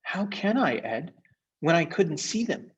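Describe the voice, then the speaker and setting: A man's voice: fairly high pitch, big pitch swings, a little slow, breathy edge, recorded from a distance, dull audio, voice pushed up, heavily acted. A man voicing an earnest, plucky young girl in a storybook reading. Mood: earnest, alarmed.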